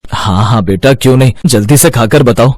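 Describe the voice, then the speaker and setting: A man acts out a poor street seller in a storybook tale. He is a caring, hopeful father with a mid-pitched male voice. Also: moderate pace, darkish tone, dry recording, close to an everyday voice.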